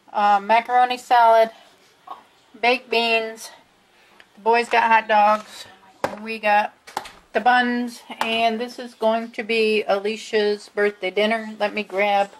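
A woman's voice: on the high side, fairly bright tone, rough recording. A middle-aged woman narrating a casual home video of a family dinner, listing the food with many fillers, on a handheld camera. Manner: chatty, slow, lists food with fillers. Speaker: vlogger filming family dinner. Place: family kitchen and dining room indoors.

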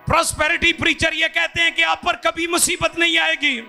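Preacher guy on a church stage, handheld mic, high gravelly voice, gets loud and fired up. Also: completely flat delivery, moderate pace, faint music behind. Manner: impassioned preaching, rising to loud climaxes. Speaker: pastor. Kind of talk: sermon. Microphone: handheld microphone.

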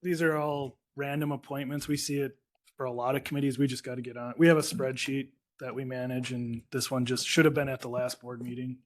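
A man's voice: medium pitch, little pitch movement, a little fast. A man explaining things plainly and informally as an official in a meeting. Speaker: county official at a board meeting.

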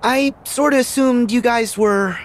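An actor playing an earnest, upbeat teenage boy hero in a video game, eager, friendly and expressive. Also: very high-pitched, a little slow. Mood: curious, concerned. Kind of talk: video game cutscene acting.

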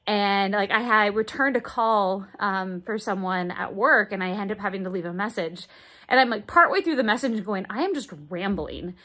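A woman in her thirties records a casual video diary on a phone at arm's length in her bedroom. She speaks in a halting way, with frequent fillers as she hunts for the right words. Her pitch sits at a middle level for a woman. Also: pushed and bright. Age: 30s. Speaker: vlogger. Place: bedroom indoors, moving boxes behind. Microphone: phone held at arm's length.

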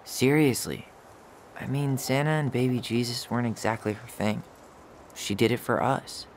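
A man's voice: medium pitch, moderate pace, a little hiss or hum, close to an everyday voice. An actor playing a wry, nostalgic young American man in naturalistic, casual video game dialogue. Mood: wistful, reflective. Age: young adult.

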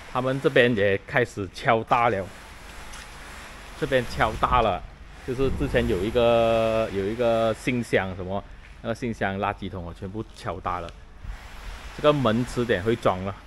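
A man's voice: fairly low pitch, fairly bright tone, big-room sound, a little hiss or hum. A man from Malaysia walking through his house under renovation, chatting casually as he points things out on a handheld camera. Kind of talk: casual walkthrough narration. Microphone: handheld action camera.

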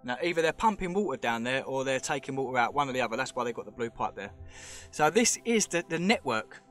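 A man's voice: mid-range voice, expressive, quick pace, a bit bright, faint music behind. A man giving rambling, speculative commentary while filming with a handheld camera in an underground cave. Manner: chatty, rambling, speculative, points things out. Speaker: vlogger exploring a show cave.

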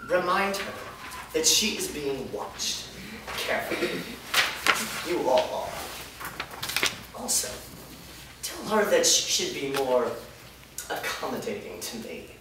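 An actor playing a prissy, menacing henchman of a dark master in a staged fantasy reading, haughty, insinuating and deliberate. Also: fairly high pitch, very animated. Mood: threatening. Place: indoor performance room before a small audience. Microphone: far camera. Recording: echoey hall, distant mic, noisy.

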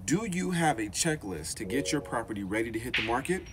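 A man's voice: medium pitch, big pitch swings, fairly quick, noisy background. A man in his thirties giving real estate tips to the camera in an upbeat, chatty way, filming himself on a phone held at arm's length as he walks through an empty house. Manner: upbeat, chatty, emphatic repetition. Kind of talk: walkthrough vlog, tips to camera. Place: empty house, bare rooms. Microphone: handheld phone at arm's length. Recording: echoey empty rooms with long reverb.

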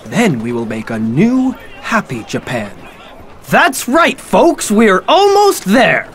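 High-voiced, showy warlord. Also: male voice, huge pitch swings, takes it slowly, a bit bright, slight background noise, full character voice. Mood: rousing. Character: brash, showy, cheerful warlord rallying troops. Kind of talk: theatrical English game dub acting. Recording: music behind the voice.

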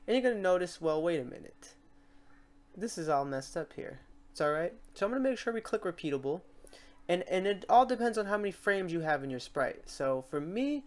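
Casual software tutorial host, thinking aloud. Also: medium pitch. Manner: informal, thinking aloud while demonstrating.

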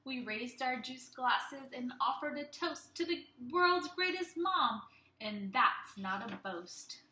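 A young woman teacher reading a storybook aloud to young children in an animated, expressive way, speaking to them directly. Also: sits high, slow, a little hiss or hum. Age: young adult. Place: small room at home. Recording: roomy, echoey home webcam sound.

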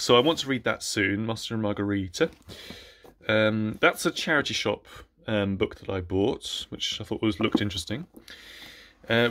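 A man speaks in a somewhat low voice. He chats informally to the camera, rambling and hesitating as he goes. His sentences often break off and start again. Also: fairly bright tone. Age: 30s. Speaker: book reviewer vlogger. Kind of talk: casual chat to camera about books. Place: small indoor room with bookshelves.

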